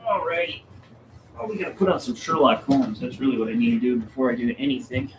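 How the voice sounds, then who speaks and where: A man's voice: mid-range voice, fast. A man hosting a casual stream, talking informally and thinking aloud. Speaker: livestream host and product maker. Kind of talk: casual chat. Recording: faint background noise.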